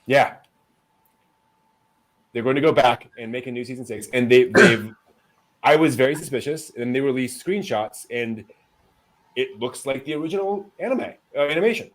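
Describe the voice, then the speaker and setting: A man's voice: mid-range voice, moderate pace, long pauses, poor audio. A man hosting a casual news rundown with co-hosts, talking in a hesitant, conversational way. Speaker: host presenting anime news.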